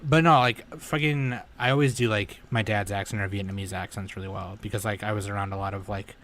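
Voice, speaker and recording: Animated male podcast guest. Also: on the low side, brisk, slight background noise. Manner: animated storyteller who slips into impressions. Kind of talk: casual podcast chat.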